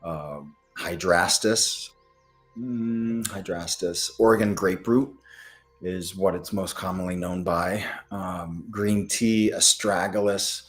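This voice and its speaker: An American man from the Midwest giving informal question-and-answer health advice as a doctor, in a halting way with pauses between phrases. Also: deep voice, steady tone, a bit bright, faint music behind.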